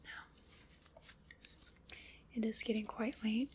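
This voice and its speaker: A woman whispering breathily in an ASMR roleplay, soft, unhurried, formal and reassuring. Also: fairly high pitch, steady tone, slight background noise.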